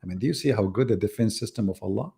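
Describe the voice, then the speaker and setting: Gravelly, mocking man on a live debate. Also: fairly low pitch, rapid-fire, warm tone. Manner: mocking, sarcastic rhetorical questions. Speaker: debate host. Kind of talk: live online debate monologue.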